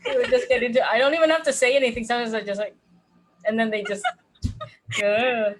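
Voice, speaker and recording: A woman life coach with a loud voice, talking casually in an interview and laughing often. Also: on the high side, steady tone, a little fast, slight background noise, low-quality recording. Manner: laughs often, speaks of holding space.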